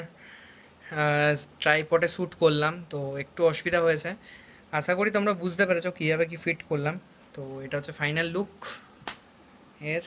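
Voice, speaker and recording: A man's voice: a little high, bright, forceful tone. A man giving a casual vlog review, hesitating now and then and mixing in English words. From India. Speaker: motorcycle vlogger.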